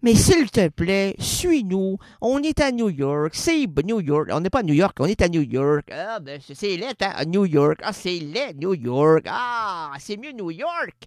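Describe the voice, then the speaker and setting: A man's voice: sits high, sing-song, unhurried, few pauses, dry recording. A man with a Québécois French accent doing a rambling, playful comic radio monologue. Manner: playful, rambling, swings between loud and quiet.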